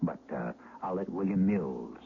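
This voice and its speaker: Spooky radio host telling eerie tales, very lively pitch, old tinny hissy recording. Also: male voice, creaky, heavily acted. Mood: ominous. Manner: ominous, measured. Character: ominous storyteller introducing eerie tales. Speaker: host and storyteller. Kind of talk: dramatic scripted 1970s radio narration.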